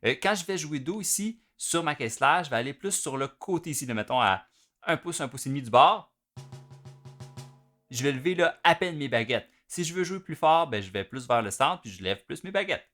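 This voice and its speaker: A man with a Quebec French accent, a drum teacher giving an informal, explanatory lesson in which he pauses to play between phrases. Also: on the high side, expressive, fairly bright tone, faint music behind. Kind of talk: instructional video lesson.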